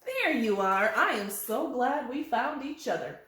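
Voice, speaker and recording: A woman voicing a cheerful little bunny sailor from a children's story, with exclaiming, drawn-out lines. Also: on the high side, sing-song, a bit bright. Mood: excited, glad. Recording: very echoey, roomy sound.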